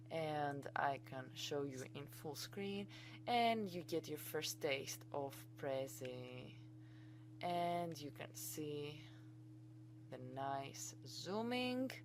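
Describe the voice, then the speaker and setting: A woman's voice: low voice, a little hiss or hum, low-quality recording. A woman with a Greek accent, a native Greek speaker, giving an enthusiastic, chatty screencast tutorial as a college instructor.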